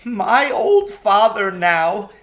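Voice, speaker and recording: Wailing, guilt-ridden storybook faun, high-pitched. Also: male voice, sing-song, a bit bright, heavily acted. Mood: tearful confession. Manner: sobbing, wailing, drawn-out words. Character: weeping, guilt-ridden male faun, gloomy and self-reproaching.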